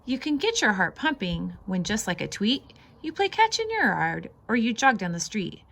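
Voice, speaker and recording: A woman reading a children's picture book aloud as host, expressive and sing-song, stressing the rhymes and adding casual asides. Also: mid-range voice, normal speed, gravelly.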